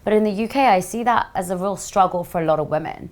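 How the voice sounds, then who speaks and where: A woman's voice: mid-range voice, racing. A woman hosting a podcast interview, chatting casually and reacting eagerly to her guest. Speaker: podcast host.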